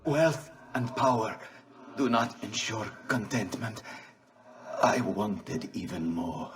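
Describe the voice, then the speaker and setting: Slow, halting, remorseful nobleman. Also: fairly low pitch, animated. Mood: wistful. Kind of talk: dramatic video game cutscene acting.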